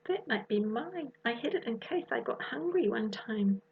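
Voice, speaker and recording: A woman voicing a small, anxious and earnest younger girl in a children's story, breathy and pleading. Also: a little high, lively intonation, moderate pace, narrow, tinny audio, pitched above the performer's own voice, full character voice. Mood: sheepish.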